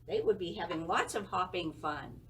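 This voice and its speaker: A woman's voice: on the low side, normal speed, a bit bright. A woman hosting a children's story time, leading young listeners in a sing-song way with simple questions. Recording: distant mic with faint background noise.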